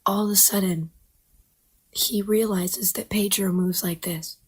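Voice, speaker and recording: Woman storyteller, breathy whisper, slow and unhurried. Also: medium pitch, steady tone.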